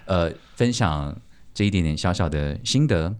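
A man's voice: low voice, animated, moderate pace, clear, resonant tone. A man with a Taiwanese Mandarin accent talking casually and reflectively as a podcast host. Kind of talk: casual conversational talk.

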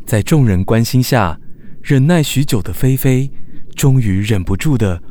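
A man narrating a children's radio drama in measured, expressive storytelling. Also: mid-range voice, close to an everyday voice. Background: background music.

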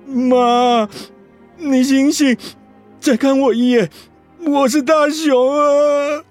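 A man's voice: very high voice, fairly even intonation, takes it slowly, soft background music. A man acting in a radio drama as a remorseful, conflicted grown son, earnest and pleading in manner. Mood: tearful pleading.

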